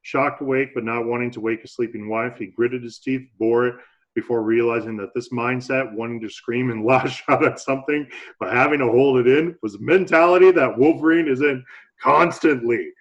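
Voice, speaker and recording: A man's voice: medium pitch, steady tone, moderate pace. Laid-back man on a slightly dull recording. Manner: laid-back, hesitant phrasing with restarts. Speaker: podcast co-host. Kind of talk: casual movie-review chat.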